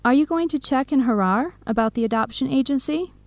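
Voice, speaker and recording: An outspoken, supportive young woman in an educational radio drama. She speaks clearly and in measured phrases, with a moderately high pitch. The sound is very dry, with no room around it. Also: lively intonation, no echo. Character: supportive, outspoken young American woman friend and eco volunteer.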